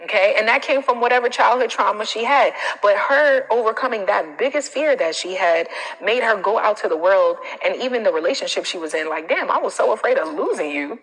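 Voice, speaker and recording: An American woman giving casual livestream coaching in a blunt, animated, colloquial way. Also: mid-range voice, brisk. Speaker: self-confidence coach. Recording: reverberant, echoing room sound.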